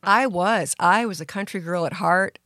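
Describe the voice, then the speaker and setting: A woman from Canada, a country singer guest, with a deep voice, talking earnestly in a casual interview. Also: normal speed, sharp tone, no echo, studio quality.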